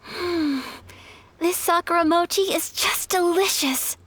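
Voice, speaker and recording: An actress playing a refined, gentle young noblewoman in an anime-style game, speaking with formal courtesy and measured phrasing. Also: extremely high pitch, faint music behind, exaggerated voice. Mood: courteous, curious. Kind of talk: English-dubbed anime-style game acting.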